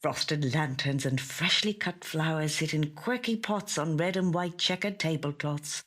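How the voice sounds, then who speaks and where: A woman reading a first-person literary short story in a measured, gentle storytelling manner. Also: low-pitched. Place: audio studio.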